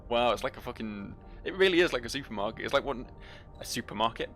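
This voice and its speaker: A man's voice: medium pitch, lively intonation, fast, fairly bright tone, soft background music. A man giving casual let's-play commentary and reading game journals aloud in a dry, joking, profane way. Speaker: video game player and host.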